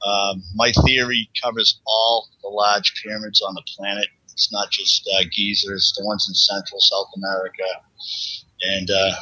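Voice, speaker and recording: A man with a Boston accent, a guest on an interview show, explaining at length in a halting, rambling way with many restarts. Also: lowish voice, bright, forceful tone, slightly rough. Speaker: guest researcher. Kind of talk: casual interview talk. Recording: dull lo-fi sound.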